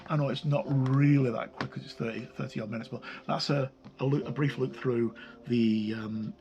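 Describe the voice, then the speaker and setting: A man's voice: medium pitch, vocal fry. A man hosting a game review, talking casually and unscripted, rambling and hesitant as he pages through a book. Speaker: host of a tabletop game review channel.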